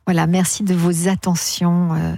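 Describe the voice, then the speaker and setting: A woman's voice: fairly low pitch, little pitch movement, normal speed, creaky, warm tone, no room sound. A woman hosting an evening call-in radio show, chatty and prompting her caller. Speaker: radio host. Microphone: studio microphone.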